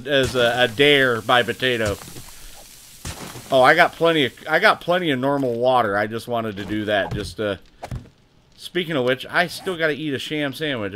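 A man's voice: mid-range voice, bright, forceful tone, a little hiss or hum. An American man hosting a gaming livestream, chatty, laughing often and swearing when something surprises him. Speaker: livestream host. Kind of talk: casual gaming livestream chat.

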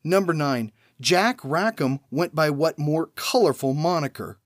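A man with a fairly high voice reads trivia questions one after another, each followed by a pause and the answer. He speaks in a measured, orderly way. The sound is close and dry, with no room around it. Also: dry recording. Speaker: trivia podcast host.

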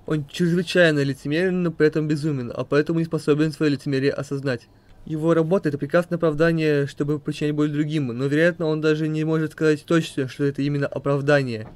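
A man's voice: on the high side, fairly even intonation, fast talker, a little mellow, dry recording. A man reading questions and explanations aloud as narrator of an amateur comic dub.

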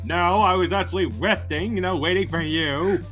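A man as a cocky, high-voiced boss duelist. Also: moderate pace, fairly bright tone, faint music behind. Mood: cocky, casual. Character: cocky, laid-back male boss duelist. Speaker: player in a Minecraft roleplay. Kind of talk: improvised roleplay dialogue.

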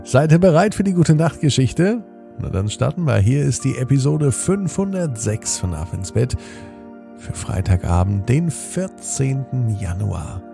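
Slow, gravelly-voiced man telling bedtime stories. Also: medium pitch, sing-song, faint music behind. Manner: soft, slow and soothing, speaking directly to children. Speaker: host and storyteller of a children's bedtime podcast. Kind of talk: gentle bedtime story narration.

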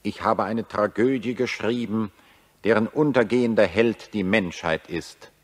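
An anguished, declamatory wartime satirist. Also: male voice, lowish voice, measured pace. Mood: grave despair. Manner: declamatory, solemn, rhetorical. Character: anguished satirist accusing humanity over the war, bitter, prophetic. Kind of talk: 1940s German radio drama monologue.

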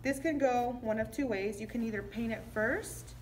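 A woman's voice: a little high, moderate pace, a little hiss or hum. A young woman chattily explaining a craft step by step in a casual tutorial, slightly muffled by a face mask. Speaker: craft video host. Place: indoor room with decorated backdrop.

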